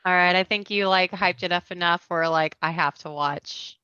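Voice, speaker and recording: Woman, bright forceful voice, podcast guest, casual, slangy, rambling, slightly dull lo-fi sound. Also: lowish voice, moderate pace, clean tone, no echo.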